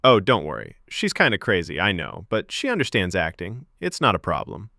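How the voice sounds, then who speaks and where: A man voicing a warm, protective young male drama student in casual, low-key audiobook dialogue. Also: huge pitch swings, moderate pace, vocal fry, no echo, studio quality, heavily acted. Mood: reassuring.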